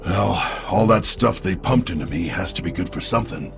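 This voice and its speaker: An actor playing a stubborn, world-weary old soldier in a video game, gruff and terse with a gravelly voice. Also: male voice, low voice, lively intonation, brisk, over music. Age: older.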